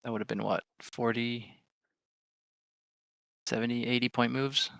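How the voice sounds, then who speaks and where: A man hosting a live trading stream, giving chatty, excitable commentary and thinking aloud as he reads his charts. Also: medium pitch, a little slow, creaky, no echo.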